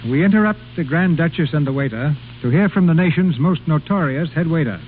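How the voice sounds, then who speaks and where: A man's voice: fairly high pitch, lively intonation, a little fast. A man announcing in old-time radio style on a noisy, tinny broadcast recording.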